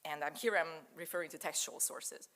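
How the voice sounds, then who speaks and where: A woman whose first language is Hungarian, giving an academic lecture in a measured, explanatory way with frequent fillers. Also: sits low, fairly bright tone. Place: lecture room in a German-speaking country.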